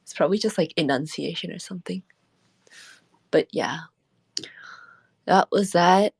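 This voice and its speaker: A woman's voice: on the low side, a little slow, gravelly, a little mellow, slightly rough, no echo. A woman streamer chatting casually and rambling, laughing often as she talks. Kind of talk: casual late-night stream chat.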